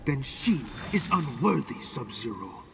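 An actor playing an arrogant, pompous demigod in a video game fight dialogue, talking with disdain. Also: male voice, medium pitch, sing-song, measured pace, vocal fry, music in the background. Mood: disdainful. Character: haughty male demigod, arrogant and scheming. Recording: cavernous reverb, loud game ambience.

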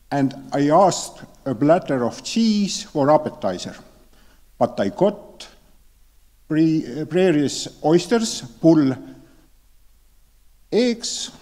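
A man, a native Estonian speaker, giving a relaxed, lightly joking conference talk as a member of parliament, with some hesitation. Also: fairly high pitch. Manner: relaxed, joking, slightly halting. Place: conference hall. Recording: hall reverb in a large venue.

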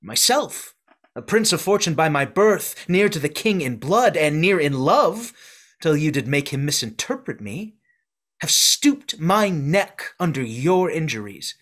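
A man in a video-call Shakespeare reading, playing an exiled duke who forcefully claims his inheritance. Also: on the high side, sing-song, measured pace, heavily acted. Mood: condemning. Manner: forceful declamation. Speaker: actor. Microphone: video call webcam.

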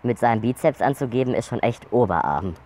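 A man's voice: medium pitch, lively intonation, brisk, dark tone. A young adult man chatting casually outdoors as a vlog host, given to blurting out sudden words. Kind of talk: casual chat. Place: outdoors in a garden by a pool.

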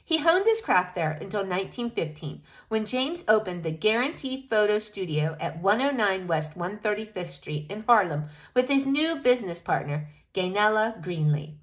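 A woman reading a picture book aloud in an expressive, deliberate storytelling style. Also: mid-range voice. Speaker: reader of a children's picture book.

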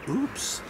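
A man playing a burly, sneering male security thug in a radio drama, taunting and menacing. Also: heavy background noise. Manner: mocking drawl, menacing, taunting. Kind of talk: German radio drama acting.

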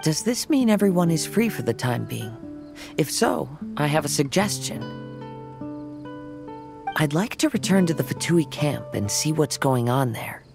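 A calm young wandering samurai in a low register with gravelly edges. The delivery is thoughtful and polite, scripted game dialogue over music. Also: female voice, unhurried, exaggerated voice. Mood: calm, curious.

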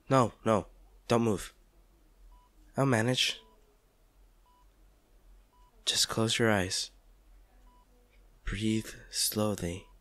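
A man's voice: fairly low pitch, a bit bright, no echo. A man playing a devoted, protective partner in an intimate audio drama, tender and reassuring as he comforts an injured loved one. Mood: tender, hushed. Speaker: audio roleplay performer.

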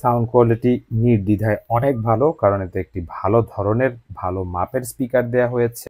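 Low-pitched Bangladeshi man, dry close sound. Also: a little mellow, dry recording. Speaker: host of gadget unboxing channel. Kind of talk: scripted product review narration.

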